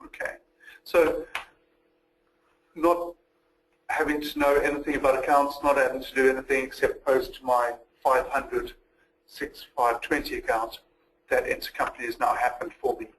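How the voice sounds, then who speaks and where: A man giving a methodical, step-by-step walkthrough as a software demonstrator in a recorded webinar. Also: medium pitch, flat delivery, moderate pace, pauses often, rough recording. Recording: noticeable background noise.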